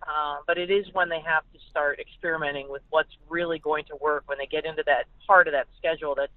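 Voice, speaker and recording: Tinny phone audio, female sports reporter, casual football talk, halting delivery with repeated words, dry sound. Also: low voice, fairly even intonation, no room sound. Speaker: sportswriter guest. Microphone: phone call. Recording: narrow, tinny phone line.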